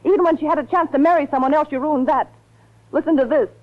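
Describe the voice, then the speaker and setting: A woman's voice: high-pitched, fast, lightly acted. Casting: actress for hard, mocking young female blackmailer, sardonic and cool, 1940s radio acting, muffled old recording. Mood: accusing, bitter. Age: young adult. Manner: taunting, self-assured, sardonic. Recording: muffled vintage radio broadcast.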